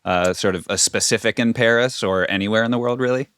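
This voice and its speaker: A man's voice: fairly low pitch, fast, fairly bright tone, smooth, dry recording. Male podcast co-host, mid-range voice, long rambling questions, dry close sound. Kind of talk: casual interview questions.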